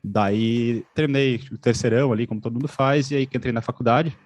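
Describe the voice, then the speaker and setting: A man tells his story in a loose, casual way. He talks fast, at a mid pitch. Faint music sits low behind him. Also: fairly even intonation, a little mellow, no room sound. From Santa Catarina, Brazil. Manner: loose, uses fillers like "pô" and "né". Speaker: interview guest, traveler.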